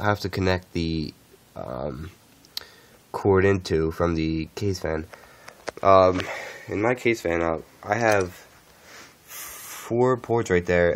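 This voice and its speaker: A man who is a little sick, talking through a casual how-to walkthrough in a halting, unscripted way. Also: deep voice. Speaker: tech tutorial host.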